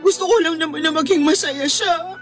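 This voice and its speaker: A woman's voice: very high-pitched, fairly quick, over loud music. A woman acting a young, heartbroken and yielding girlfriend in a Tagalog radio drama, with a plaintive, emotional delivery. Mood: wistful.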